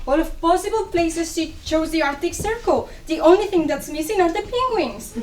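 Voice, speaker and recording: Woman acting high-pitched, chiding Cuban confidante. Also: expressive, moderate pace. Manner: chiding, mixes in Spanish endearments. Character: lively, blunt, reproachful Cuban woman confidante. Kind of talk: dramatic play reading. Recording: echoey room, distant mic, faint noise.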